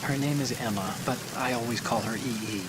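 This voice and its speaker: Game support partner male actor: earnest, anxious hacker, mid-range voice, halting delivery, clear rain noise. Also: medium pitch, lightly acted. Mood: uneasy, confiding. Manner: hesitant, stammering. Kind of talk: video game voice acting.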